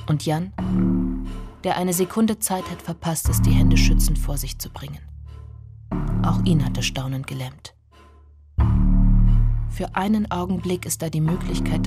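An actress playing a woman who remembers her lost lover, narrating a literary radio drama in a hushed, deliberate, intimate way. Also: low voice. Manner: hushed, deliberate, often breathy.

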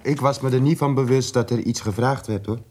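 An actor playing a wary, exasperated geologist under interrogation in a radio drama, answering tersely and protesting insistently. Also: male voice, medium pitch, steady tone, fairly quick, a little mellow, natural voice. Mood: exasperated, defiant. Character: defiant male geologist detainee, wary and exasperated.

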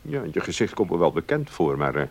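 An actor playing a genial, curious well-to-do gentleman in a Dutch radio play, speaking in a measured, polite way. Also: low-pitched, big pitch swings, heavily acted. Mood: curious, probing.

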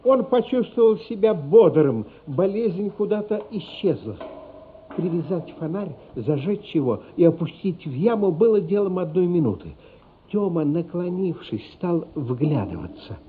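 A man narrating a literary radio play in a measured, suspenseful, dramatic storytelling manner. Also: high voice, big pitch swings, slight background noise. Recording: dull, band-limited radio play recording. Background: music.

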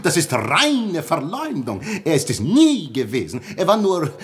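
An actor playing a sly trickster posing as a grand gentleman in a 1960 radio comedy, oily and grandiose. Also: on the high side, huge pitch swings, a little slow. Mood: flattering. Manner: oily, grandiose, theatrically hesitant. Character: sly flattering male trickster posing as well-travelled gentleman.

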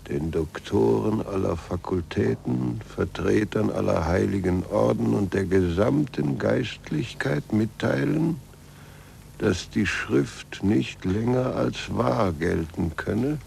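Villainous churchman: heavy creak, slow solemn delivery, stern and calculating, vintage radio hum. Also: fairly low pitch, darkish tone, heavily acted. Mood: stern, insistent. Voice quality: gravelly, creaky. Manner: measured, formal, solemn. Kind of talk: 1940s German radio play acting.

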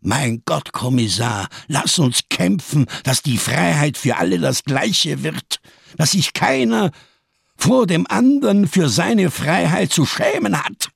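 A man's voice: on the high side, big pitch swings, slow, no echo, studio quality, full character voice. An actor in a radio drama playing a scarred, hard-drinking survivor of the camps, solemn and rhetorical, bitterly defiant in his grief. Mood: impassioned, bitter. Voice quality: gravelly, husky. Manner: solemn, rhetorical, swinging between hushed and impassioned.

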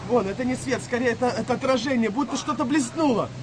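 An actor playing a young, frightened and inquisitive sailor in a wartime audio play, with a colloquial, excitable way of talking. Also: male voice, very high voice, fast talker, background noise. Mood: alarmed.

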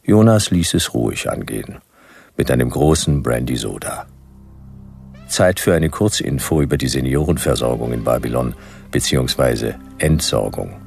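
An actor playing a world-weary private detective, narrating a radio drama in a dry, laconic, sardonic way. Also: male voice, very deep voice, expressive, normal speed, a little mellow, soft background music, heavily acted. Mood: dry, wry. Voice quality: gravelly.

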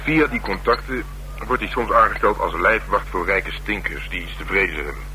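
An actor playing a streetwise, sardonic middle-aged police detective in a Dutch radio drama, talking bluntly and colloquially with dry teasing. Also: male voice, sits low, normal speed, sharp tone. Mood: matter-of-fact. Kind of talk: naturalistic radio drama dialogue. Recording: humming, noisy old radio broadcast.

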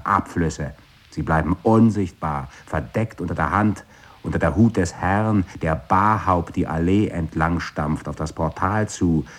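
A man with a very low, husky voice gives a measured, declamatory recitation of experimental verse. He is recorded in a radio studio. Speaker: speaker in an experimental radio play. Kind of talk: scripted poetic recitation.

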